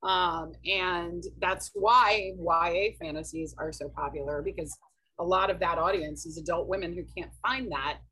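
A woman guest on a podcast talks about her writing at an easy, thoughtful pace, pausing often to gather her words. Her voice sits on the lower side and has a husky, breathy edge. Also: bright, forceful tone, poor audio. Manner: thoughtful, hesitant with many ums. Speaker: author guest. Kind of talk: casual podcast interview.

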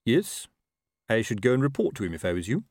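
A man's voice: mid-range voice, big pitch swings, fairly quick, darkish tone, dry recording, clean studio sound. Man doing a pompous, dim commanding officer. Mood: self-satisfied. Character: self-important, dim male commanding officer, blustering.

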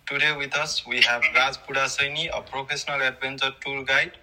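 Young male podcast interviewer, mid-range pitch, very sharp forceful tone. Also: flat delivery, a little fast, low-quality recording. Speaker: student podcast host, interviewer.

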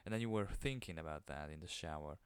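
A man with an Italian accent hosting a podcast alone, keeping his voice low and soothing, with an occasional stutter into the microphone. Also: fast talker, smooth, no echo. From Italy. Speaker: podcast host. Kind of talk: casual solo monologue.

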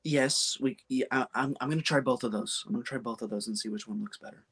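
A man's voice: medium pitch, quick pace, a bit bright, slightly rough. Young male co-host, mid twenties, easygoing, agreeable, casual podcast chat. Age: 20s.

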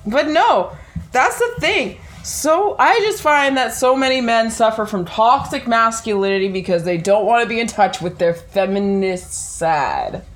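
A woman co-hosting a casual chat, raspy and coughing, talking bluntly and playfully with lots of swearing. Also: a little high, expressive, unhurried. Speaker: podcast co-host.

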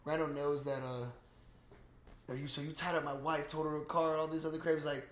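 A man co-hosting a casual podcast, retelling a film in slangy, swear-heavy, animated talk. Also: a little high, fast. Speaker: podcast co-host. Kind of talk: casual movie recap chat. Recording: roomy, echoey sound.

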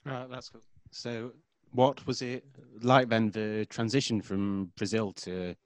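A man's voice: on the low side, flat delivery, takes it slowly, no room sound. Formal-sounding male podcast host. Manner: formal, slightly florid phrasing. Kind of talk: interview questions.

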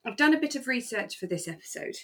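A woman with a British accent co-hosting a podcast in a relaxed, casual chatting style. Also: on the high side, fast talker. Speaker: podcast co-host. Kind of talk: relaxed casual chat about a radio drama.

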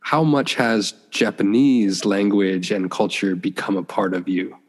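A man's voice: on the low side, fairly even intonation, a little slow. A man interviewing a guest, prompting him and finishing the guest's thoughts as they talk. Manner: encouraging, paraphrases and completes the guest's answers. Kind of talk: conversational interview. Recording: long reverb.

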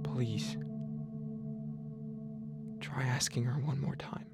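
An actor playing a seventy-year-old Syrian father, a retired teacher, wounded and pleading in a halting, emphatic monologue over music. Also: medium pitch, fairly even intonation, gravelly. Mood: sad, quiet pleading. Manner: halting, emphatic, repeating phrases, long pauses. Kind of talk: dramatic phone-call monologue.

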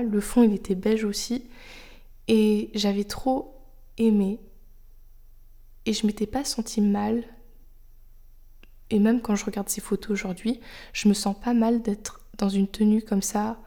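A young woman hosting a podcast, speaking French as her first language in a personal, reflective monologue. She talks softly and confidingly, thinking aloud as she goes, sometimes dropping almost to a whisper. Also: mid-range voice. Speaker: podcast host.

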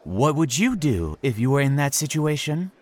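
Man acting a mysterious, courteous storytelling stranger, lively pitch. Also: moderate pace. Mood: probing. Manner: formal, teasing, storyteller phrasing. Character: mysterious, courteous, insistent man new in town. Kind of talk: audio drama acting.

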